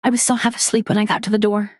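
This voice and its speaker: A woman giving a dramatic reading of a horror story, measured and storytelling, dropping low and quiet at the tense moments. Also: flat delivery, dry recording, studio quality. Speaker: narrator.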